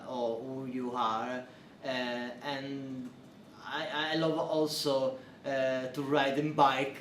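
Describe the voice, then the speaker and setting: A young Italian man with an Italian accent, speaking English as a second language and answering interview questions with hesitant fillers. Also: medium pitch. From Italy. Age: young adult. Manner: hesitant, many fillers and restarts, non-native phrasing. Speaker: interviewee, PhD researcher. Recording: roomy, distant mic, faint noise.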